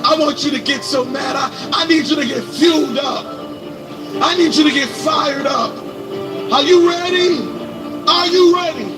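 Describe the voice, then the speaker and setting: A man giving an intense motivational speech to students, repeating phrases and building up to shouts. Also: extremely high pitch, normal speed, low-quality recording. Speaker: motivational speaker. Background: music.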